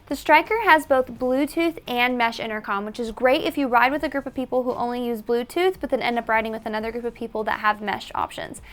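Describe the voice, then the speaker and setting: A woman's voice: a little high, brisk, hardly any pauses, a bit bright. A woman presenting a product tutorial in an instructional, step-by-step manner. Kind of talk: tutorial narration.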